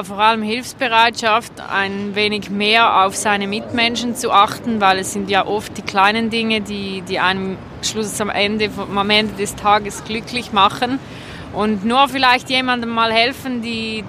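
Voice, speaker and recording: Swiss woman speaking over clear traffic noise. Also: on the high side. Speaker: street interviewee. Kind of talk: spontaneous, reflective interview answers. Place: outdoors on an urban street.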